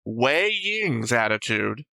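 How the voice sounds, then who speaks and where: A man with a bright, sharp voice talks casually as co-host of a discussion show. He is short on sleep, argumentative and often restates his own points. The sound is dry, with little room in it. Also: mid-range voice, sing-song, takes it slowly, creaky, no room sound. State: sleep-deprived. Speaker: co-host of an anime reaction show. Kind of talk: casual discussion of episode notes.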